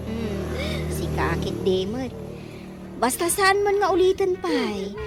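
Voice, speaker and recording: A Filipino woman playing a frank, forgiving young village woman in a radio drama, speaking with deliberate exclamations. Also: high-pitched, very animated, music in the background, exaggerated voice. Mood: concerned, frank. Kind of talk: Ilocano radio drama acting.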